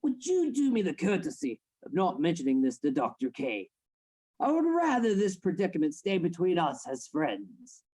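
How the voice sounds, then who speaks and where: A man acts out a domineering anatomy assistant in a Victorian horror radio play. His voice is rough and husky, with big swings in pitch. He speaks in formal, theatrical commands, scheming and controlling. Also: huge pitch swings, moderate pace, darkish tone, exaggerated voice. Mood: cold, controlling. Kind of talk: live radio play acting.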